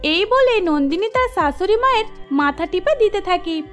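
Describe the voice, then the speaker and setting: A woman narrates a scripted cartoon tale. Her voice is extremely high-pitched, and gentle background music runs beneath the narration. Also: expressive, moderate pace.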